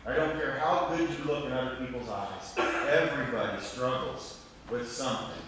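A man's voice: medium pitch, normal speed, fairly bright tone. Middle-aged male pastor, earnest sermon delivery, distant pickup in a reverberant sanctuary. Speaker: Lutheran pastor preaching. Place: church sanctuary at a lectern. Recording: echoey church, distant mic, faint noise.

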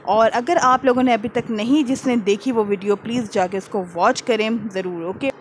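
A woman's voice: fairly high pitch, normal speed, a little hiss or hum. A woman with an Urdu-speaking Pakistani accent, hosting a casual home vlog and chatting to her viewers while cooking. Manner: chatty and warm, filler-heavy "jī". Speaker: vlogger, channel host. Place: home kitchen and living room, indoors.

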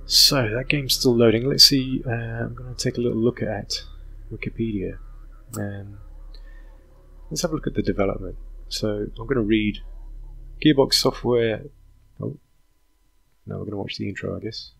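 Hoarse gamer, rambling. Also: male voice, lowish voice, moderate pace, a bit bright. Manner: rambling, self-interrupting, full of ums. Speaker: gaming channel host. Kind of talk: casual let's play commentary.

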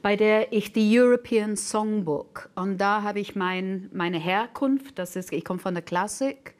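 A middle-aged woman talks casually as a guest on a TV studio set. Her voice is mid-pitched and her speech flows with plenty of fillers. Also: unhurried. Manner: fluent, many fillers. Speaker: singer, talk show guest. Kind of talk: casual chat.

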